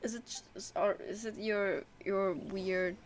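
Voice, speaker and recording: A woman's voice: mid-range voice, steady tone, unhurried, slight background noise. A woman game streamer reacting casually as she plays. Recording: faint game sound behind.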